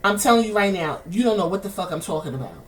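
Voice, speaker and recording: A woman's voice: medium pitch, lively intonation, fast talker, recorded from a distance. A woman with a New York accent, hosting a podcast and ranting in heated, profane commentary. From New York City. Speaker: podcast host.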